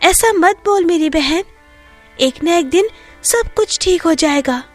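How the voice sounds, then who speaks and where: A woman's voice: extremely high pitch, expressive, slow, faint music behind, heavily acted. A woman playing a sorrowful, protective orphaned elder sister in a storybook tale. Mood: consoling.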